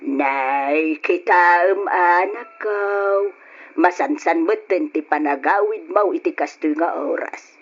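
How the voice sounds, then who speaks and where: A woman's voice: on the low side, slow pace, slightly rough. An actress with an Ilocano Filipino accent playing a worried, scolding middle-aged mother in a radio drama. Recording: muffled, noisy old radio drama.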